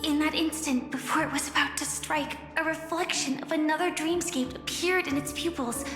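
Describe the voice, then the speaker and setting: A woman voices a wistful young game heroine with a high, girlish pitch. Her delivery is measured, earnest and thoughtful. The voice echoes in a big reverb, with soft music behind. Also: close to an everyday voice. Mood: pensive, wistful. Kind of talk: scripted game dialogue. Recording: long echoing reverb, faint music.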